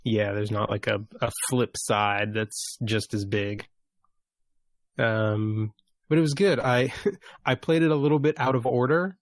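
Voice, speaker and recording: A man's voice: on the low side, steady tone, measured pace, no echo. A man co-hosting a gaming podcast, chatting casually in an understated, matter-of-fact way. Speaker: podcast co-host.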